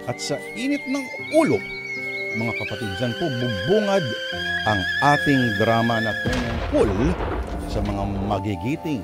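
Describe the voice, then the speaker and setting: Animated, declamatory Filipino male radio host. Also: mid-range voice. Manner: declamatory, mock-heroic. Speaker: host and narrator. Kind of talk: theatrical radio drama introduction. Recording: music bed behind the voice.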